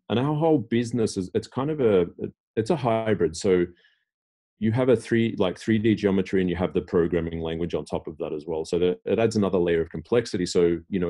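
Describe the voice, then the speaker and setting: A man's voice: low voice, mellow. A middle-aged man from Australia, a tech executive guest, talking casually in an interview and rambling with many fillers and restarts.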